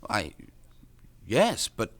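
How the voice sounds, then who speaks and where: An actor in a radio drama playing a nervous, polite teenage son who answers hesitantly and defensively. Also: male voice, very slow, background noise. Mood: guarded, nervous. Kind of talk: 1970s radio drama acting.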